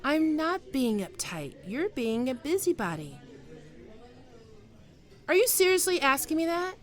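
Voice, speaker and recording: Woman acting a slow, hesitant, wistful ex-lover. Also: high-pitched, big pitch swings, soft background music. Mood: indignant, defiant. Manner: hesitant, halting, with sighs and trailing off. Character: flustered, wistful, proud writer meeting her former lover. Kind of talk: intimate ASMR roleplay acting.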